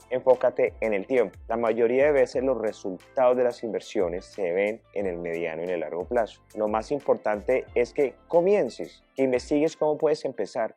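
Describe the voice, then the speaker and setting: Man in his 30s, low mellow voice, earnest coaching tone, soft music underneath. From Colombia. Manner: earnest, coaching, addresses viewer directly. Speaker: financial advisor. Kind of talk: direct-to-camera advice talk.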